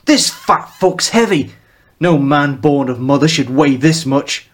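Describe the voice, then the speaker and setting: A man voicing a gangly, hot-headed young male traveller with a Mancunian accent, shouting coarsely. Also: a little high, lively intonation, a little slow. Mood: angry.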